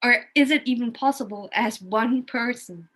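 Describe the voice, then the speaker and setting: Woman, German accent, bright forceful voice, emphatic and informal in casual conversation. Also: fairly high pitch, moderate pace. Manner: emphatic, opinionated, informal with swearing.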